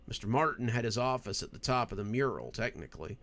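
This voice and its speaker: Gravelly man narrating horror stories. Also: medium pitch, expressive, fast talker, fairly bright tone. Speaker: horror story narrator and channel host. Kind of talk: scripted horror story narration.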